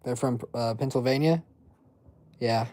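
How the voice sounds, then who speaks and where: A man's voice: medium pitch, measured pace, mellow. A man in his twenties chatting casually on a livestream, reading chat and rambling in loose slang. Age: 20s. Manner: rambling, filler-heavy slang. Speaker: livestream host.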